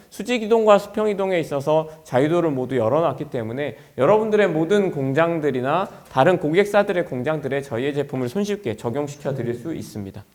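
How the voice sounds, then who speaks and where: A man's voice: fairly high pitch, darkish tone. A man presenting a product at an industry conference in an explanatory way, as a company executive would.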